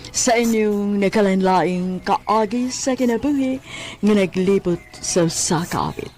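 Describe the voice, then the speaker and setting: A woman with a Cebuano Filipino accent reading scripted radio drama announcements in a measured, deliberate way. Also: mid-range voice, a little mellow. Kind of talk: scripted closing narration.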